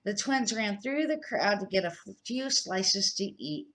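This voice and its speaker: A woman's voice: medium pitch, lively intonation, unhurried, fairly bright tone. An older woman reading a children's book aloud in an unpolished way, with small slips and restarts. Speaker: storybook reader.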